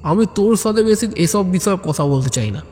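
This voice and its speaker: A man's voice: sits high, animated, quick pace, a little mellow. A man voicing a big, pot-bellied, easily provoked man in a comic audio story, grave and defensive. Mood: indignant, defensive. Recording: long hall reverb, faint background noise.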